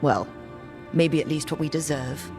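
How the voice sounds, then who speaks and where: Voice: mid-range voice, a little slow, over music, lightly acted. An actor playing a wry adventurer who leads a group of companions, speaking in a plain and down-to-earth way in a video game cutscene.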